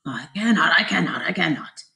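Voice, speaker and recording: A woman voicing a tired, rusty old male engine in a children's story, speaking in a weary, sighing, breathy way. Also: fairly low pitch, animated, fairly quick, sharp tone, voice pushed down, exaggerated voice.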